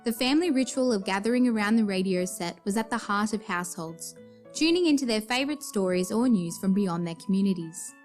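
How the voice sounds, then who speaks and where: Casting: female announcer, medium pitch, scripted radio-series introduction with soft music behind. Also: animated, fairly quick.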